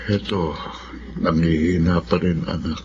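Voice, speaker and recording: A very low-voiced, ailing Filipino father speaks feebly and haltingly, with tender gratitude. It is radio drama acting on a dull recording with faint noise. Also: steady tone. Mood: feeble, weary. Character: weak, ailing, grateful old father. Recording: muffled, slightly noisy radio drama audio.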